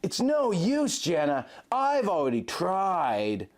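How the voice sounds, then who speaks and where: A man's voice: high voice, huge pitch swings, slow, pitched above the performer's own voice, heavily acted. A man voicing an earnest captive fantasy hero, strained and anguished, in a story reading. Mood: faltering. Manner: strained, faltering, indignant.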